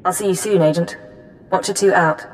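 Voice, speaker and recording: An actress playing a focused, efficient intelligence handler in a video game, measured and businesslike. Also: female voice, fairly low pitch, fairly even intonation, natural voice, rough recording. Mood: focused, concerned. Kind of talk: video game cutscene acting. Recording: faint music underneath.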